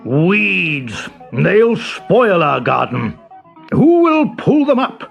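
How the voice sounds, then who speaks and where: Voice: mid-range voice, very animated, extremely slow, creaky, faint music behind, voice pushed up, full character voice. A performer voicing a male lion, the leader of the animals, firm and emphatic with a growl. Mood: worried.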